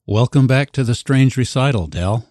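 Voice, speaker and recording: A man's voice: medium pitch, normal speed, darkish tone, no echo. A man co-hosting a podcast with light, scripted banter, recorded in a studio. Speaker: podcast co-host.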